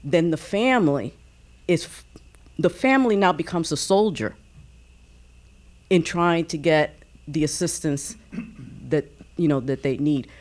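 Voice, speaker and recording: A woman offers a reflective comment from an audience. She speaks slowly in a low voice, choosing her words with halting, deliberate phrasing. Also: a little mellow. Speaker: audience member.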